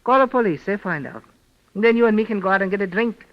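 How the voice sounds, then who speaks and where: Cynical doc on old radio show, high voice, dry wisecracks, dull sound. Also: male voice, animated, quick pace. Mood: insistent, sardonic. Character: cynical, sardonic male doctor, detective's friend. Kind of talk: 1940s radio mystery acting. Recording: dull, lo-fi vintage radio recording.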